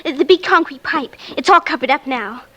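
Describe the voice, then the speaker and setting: High-voiced, urgent little girl. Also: a little fast, pushed and bright. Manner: urgent, breathless pleading, childlike earnestness. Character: frantic, pleading young girl, earnest and insistent. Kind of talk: 1940s-50s radio drama acting. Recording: vintage radio recording with music behind.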